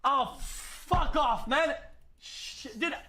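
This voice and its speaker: A young man livestreaming, chatting casually and excitably with his chat, swearing often. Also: very high voice, drawn-out words, slight background noise. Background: music playing.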